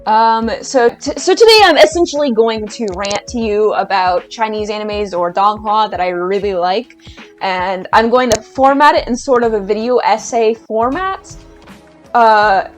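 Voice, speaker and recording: A woman's voice: fairly high pitch, a little slow, soft background music. A woman giving a casual, enthusiastic video-essay rant as a YouTuber, chatty and hesitant, often restarting her sentences.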